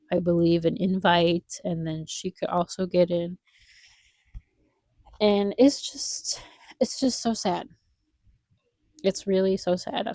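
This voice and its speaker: A woman's voice: lowish voice, a little mellow, dry recording. A young woman vlogger with a congested nose, chatting casually and wistfully while drawing, rambling with lots of fillers.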